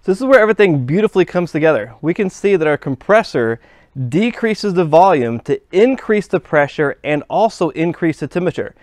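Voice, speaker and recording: A man's voice: a little high, sing-song, a little fast, a little mellow, no echo. Man explaining hands-on in a dry room. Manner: plain, step-by-step explaining while handling parts. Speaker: HVAC instructor. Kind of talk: hands-on technical demonstration. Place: workshop bench.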